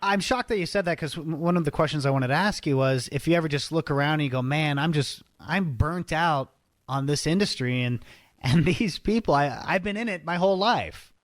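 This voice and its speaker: A man hosting an interview, asking quick conversational questions. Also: on the high side, no room sound, studio quality. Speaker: interview host.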